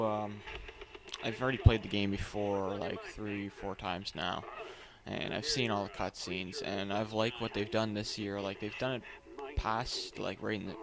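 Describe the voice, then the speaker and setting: A man giving casual, unscripted video game commentary, rambling and halting as he goes, as a reviewer. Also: fairly low pitch, background noise. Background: game cutscene audio and music.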